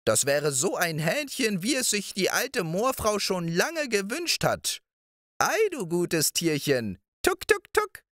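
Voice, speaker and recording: A man's voice: high voice, wildly swooping pitch, takes it slowly, a bit bright, dry recording, clean studio sound, voice pushed up, full character voice. A man voicing a wheedling old witch of the moor in a storybook reading, coaxing and sing-song.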